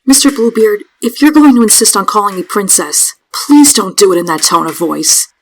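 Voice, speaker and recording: An actress playing a sharp-tongued, foul-mouthed young princess in a comic dub, with a sarcastic way of talking. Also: female voice, mid-range voice, big pitch swings, moderate pace, husky. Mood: annoyed. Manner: sarcastic, profane.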